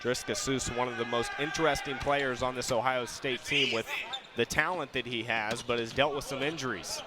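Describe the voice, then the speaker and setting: A man's voice: mid-range voice, fairly even intonation, moderate pace. An American man calling live volleyball play-by-play, raising his voice on the big plays. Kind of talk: live sports commentary. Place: indoor sports arena during a match. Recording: loud gym noise, bouncing balls. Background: loud arena noise, balls bouncing.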